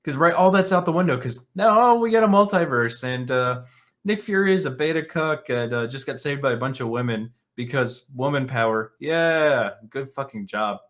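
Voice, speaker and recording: A man with a medium-pitched voice hosts an opinionated commentary show. He moves between reading written articles and giving his own reactions. His manner is blunt and scornful, with sarcasm and casual swearing. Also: lively intonation, normal speed, a bit bright. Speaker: online commentary show host.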